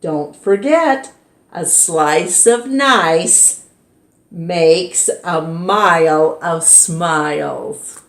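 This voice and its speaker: A woman voicing a cheerful female schoolteacher in a storybook, with a bright sing-song manner. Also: fairly low pitch, very slow. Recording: roomy echo.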